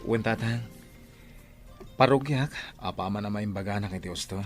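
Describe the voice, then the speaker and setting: A man's voice: lowish voice, moderate pace, natural voice. A man playing an earnest, dutiful young married father in a radio drama. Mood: respectful, subdued. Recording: music and crowd sounds behind.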